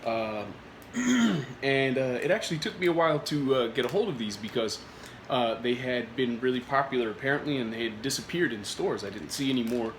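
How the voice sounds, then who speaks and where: A man talks through a snack review in a loose, rambling way, full of "uh"s and restarted sentences, still getting over a cold. His voice sits at an ordinary middle pitch. The room gives it a noticeable echo with faint background noise. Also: animated, fairly quick. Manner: rambling, many filler words and restarts. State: recovering from a cold. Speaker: food reviewer. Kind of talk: casual product review vlog.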